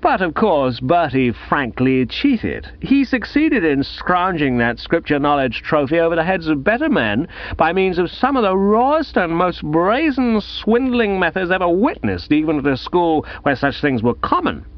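A man voicing a tipsy, haughty, belligerent young gentleman with drawn-out, accusing emphasis in a comic audiobook. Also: a little high, very animated, highs cut off, voice pushed up. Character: tipsy, cocky, belligerent young gentleman handing out school prizes.

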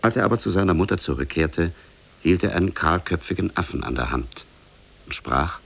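A man narrating a fairy tale in a measured, scripted 1950s radio storytelling manner. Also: low voice, expressive, gravelly, a bit bright, husky.

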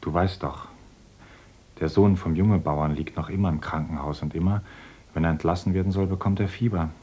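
Idealistic young teacher, radio play, low husky voice, earnest, lecturing. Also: male voice, fairly even intonation, a little fast, warm tone. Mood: gentle, earnest. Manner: earnest, lecturing, grows fervent. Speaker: actor. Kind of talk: radio drama acting.

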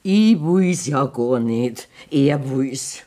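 A woman's voice: rumbling low, lively intonation, drawn-out words, dark tone, heavily acted. A distressed Bavarian farmer's wife speaks plainly and plaintively in dialect. Her intonation rises and falls strongly, in the manner of a radio play. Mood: distressed, pleading.

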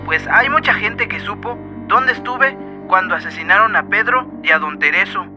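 A defiant accomplice in a radio drama. His voice is high for a man and insistent, with clear guitar music underneath. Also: animated, normal speed, sharp tone, full character voice. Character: defiant mototaxi driver, a crime boss's weary accomplice.

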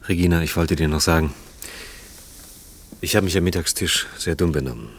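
Male actor as troubled engineer, extremely low pitch, hesitant, earnest, terse, naturalistic radio drama. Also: fairly quick, a little hiss or hum, natural voice. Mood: earnest, awkward. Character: troubled, subdued engineer, awkward and yearning.

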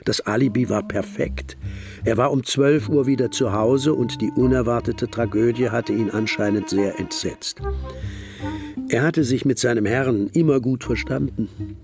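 A man narrating a German radio drama as a detective's companion recalling a case, in a measured, literary storytelling manner. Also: mid-range voice, close to an everyday voice.

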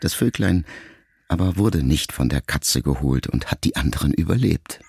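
An actor playing a middle-aged writer son who addresses his mother in a measured, introspective, quietly reproachful radio monologue. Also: a bass voice, animated, creaky, background noise, full character voice. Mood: pensive, searching. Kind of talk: literary monologue in a radio play.

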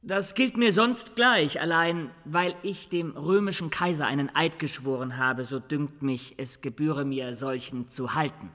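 An actor playing a proud, loyal young soldier in a period radio drama, speaking in formal, courtly, earnest phrases. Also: male voice, on the high side, a little slow, hardly any pauses, bright, forceful tone. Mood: composed, firm. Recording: long reverberant room.

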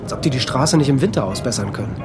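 Actor as an irritable husband, very animated delivery. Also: male voice, mid-range voice, rapid-fire, music in the background, lightly acted. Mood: irritated, defensive. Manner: needling, muttering. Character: irritable, defensive husband driving at night. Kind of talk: naturalistic radio drama dialogue.

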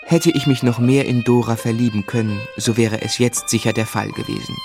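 An actor playing an earnest, ardent young gentleman who also narrates a radio play, in a literate, romantic manner. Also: mid-range voice, steady tone, fairly quick, over music, natural voice. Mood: reflective.

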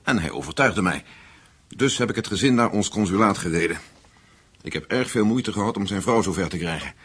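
A man acting in a radio play as a calm American official, justifying himself in a matter-of-fact way. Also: sits low, fairly quick, breathy edge, exaggerated voice. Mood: calmly defensive.

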